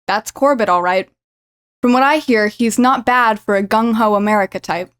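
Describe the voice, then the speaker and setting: A woman plays a wry Satanist roommate in her late twenties for an audio drama. Her voice sits fairly high and her delivery is chatty and sardonic. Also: moderate pace, a bit bright, smooth, natural voice. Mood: wry, gossipy.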